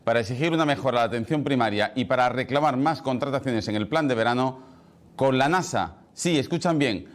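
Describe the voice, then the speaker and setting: A male television news presenter with an Andalusian accent, reading scripted headlines in a measured, emphatic way. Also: mid-range voice.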